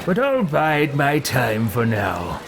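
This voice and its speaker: Haughty, prying game hero, put on by a man, slow and swooping delivery, noisy recording. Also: medium pitch, huge pitch swings, gravelly, exaggerated voice. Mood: indignant, scheming. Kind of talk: roleplayed video game dialogue.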